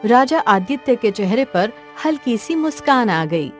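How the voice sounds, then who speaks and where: A woman's voice: a little high, big pitch swings, unhurried, soft background music. A woman reading scripted narration for a cartoon folk story.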